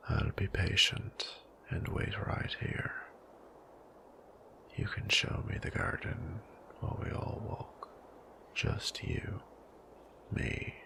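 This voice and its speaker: A man playing a suave, menacing old vampire in an audio drama, silky and formal, with a breathy, gravelly voice. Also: low voice, pushed and bright, exaggerated voice. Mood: sly, menacing. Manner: silky, formal, theatrically unhurried. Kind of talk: scripted audio roleplay acting.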